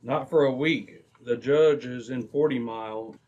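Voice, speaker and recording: Casting: male actor as dependable lawman of radio serials, mid-pitched, measured, resolute. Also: a little mellow, dull audio, lightly acted. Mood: reassuring, resolute. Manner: measured, reassuring. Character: steady, reassuring, resolute Mountie police sergeant. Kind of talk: old-time radio drama acting.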